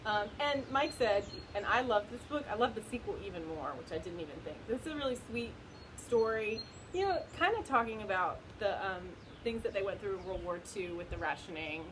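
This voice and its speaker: A woman's voice: medium pitch, lively intonation, a little fast. A woman casually recommending books, conversational and loosely phrased with small hedges, recorded outdoors. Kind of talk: casual book recommendation chat. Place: outdoors in the wind. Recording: loud wind noise on outdoor home video.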